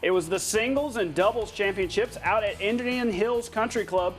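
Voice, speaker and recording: A man working as a sports anchor, calling highlights in a broadcast style with casual, jokey asides. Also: normal speed, faint music behind, poor audio.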